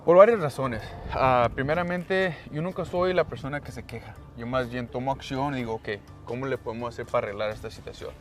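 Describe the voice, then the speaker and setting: Mid-pitched man, a boxer interviewed. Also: brisk, few pauses, soft background music. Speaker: professional boxer being interviewed. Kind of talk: casual interview answers.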